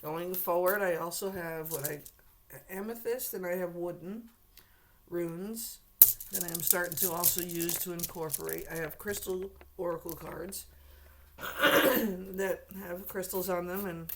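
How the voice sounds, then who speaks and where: A woman doing a casual tarot reading to camera, hoarse and losing her voice, clearing her throat often and speaking to the viewer bluntly and directly. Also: lowish voice, steady tone, measured pace, a little hiss or hum. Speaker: tarot reader.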